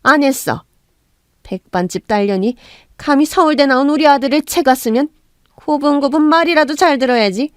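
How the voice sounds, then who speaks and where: A woman's voice: high voice, animated, normal speed, no room sound, clean studio sound. A woman voicing a scornful, insulting older mother-in-law who shrieks and sneers. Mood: shrieking.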